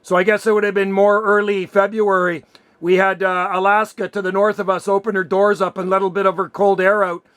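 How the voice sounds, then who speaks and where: A man's voice: high-pitched, level tone, brisk, fairly bright tone, clean tone, no echo. A man making casual radio contact as an operator, chatty and full of radio jargon.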